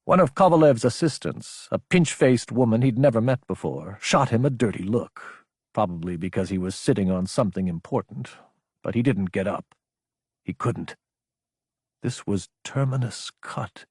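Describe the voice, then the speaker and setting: A man's voice: lowish voice, lively intonation, moderate pace, a little mellow, slightly rough, dry recording, clean studio sound. A man reading an audiobook as dramatic scripted narration.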